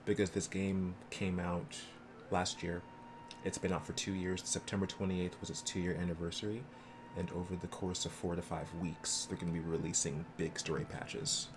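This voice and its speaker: Deep voiced guy streaming a game, talking casual to chat, noisy game sound behind him. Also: flat delivery, moderate pace. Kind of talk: casual livestream chat with viewers. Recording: clear noise behind the voice.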